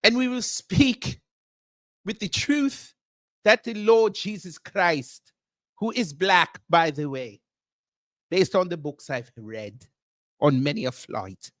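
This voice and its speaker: A man's voice: sits high, animated, slow pace, pauses often, fairly bright tone, no echo, heavily acted. A man putting on a Nigerian accent to play a grandiose, formal, self-proclaimed prince who hosts a livestream and greets viewers one by one. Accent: put-on Nigerian. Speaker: livestream host. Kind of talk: comedic character livestream.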